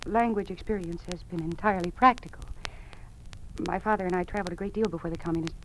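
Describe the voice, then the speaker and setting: A woman's voice: on the low side, fast talker, a bit bright, lightly acted. Actress playing a confident young American woman, old radio drama. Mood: polite, uneasy. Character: bright, confident, naive young woman starting to teach. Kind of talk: 1950s radio drama acting. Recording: noisy vintage 1950s radio recording.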